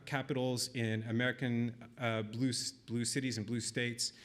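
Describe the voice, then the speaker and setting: A middle-aged man speaks at a conference in an ordinary mid-range voice. He works through his argument in a measured, thoughtful way. He pauses on ums and restarts small phrases as he goes. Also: medium pitch. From Israel. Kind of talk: delivering a speech.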